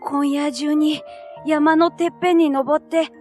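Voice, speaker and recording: A woman's voice: high voice, steady tone, unhurried, a little mellow. A woman voicing a dreamy, earnest young male squirrel in a children's storybook, boyish and wistful, with music behind. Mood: determined. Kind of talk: children's animated storybook dialogue.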